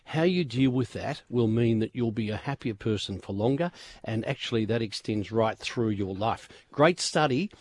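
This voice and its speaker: A man's voice: mid-range voice, animated, normal speed, a little mellow, no room sound. A man with an Australian accent, a radio health expert who explains and advises in an earnest way. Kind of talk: casual chat. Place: radio studio.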